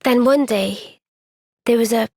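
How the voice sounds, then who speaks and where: An actress voicing a gentle, caring young female soldier in a game cutscene, speaking softly and earnestly. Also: fairly high pitch, unhurried, dry recording, studio quality. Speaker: game character. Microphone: close studio mic.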